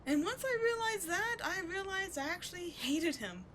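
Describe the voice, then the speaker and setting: A woman's voice: very high-pitched, sing-song, moderate pace, a bit bright, heavily acted. A woman playing a bitter, wounded villain who secretly cares, sardonic and exasperated, in a dramatic ASMR audio roleplay. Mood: anguished, confessional. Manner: sardonic, exasperated, emotionally intense. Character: bitter, wounded female villain secretly caring for rival sidekick. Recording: clearly audible background noise.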